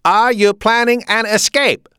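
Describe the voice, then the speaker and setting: A man's voice: on the high side, big pitch swings, unhurried, smooth, no room sound, studio quality, voice pushed up. A man voicing a puny, authoritarian German prison camp commandant in an audiobook, with a staccato, demanding German accent. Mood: accusing.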